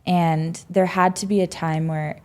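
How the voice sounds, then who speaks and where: A young woman in her twenties talks through her story as a podcast guest. Her voice sits a little low, and she speaks in a loose, reflective way, full of "um"s and "like"s. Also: little pitch movement, measured pace, darkish tone. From United States. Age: 20s. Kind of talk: casual podcast interview.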